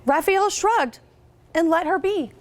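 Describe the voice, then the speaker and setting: An American woman reading a picture book aloud to children as a guest reader, expressively, pausing to ask her listeners questions. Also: very high voice, slight background noise. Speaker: guest reader, company representative.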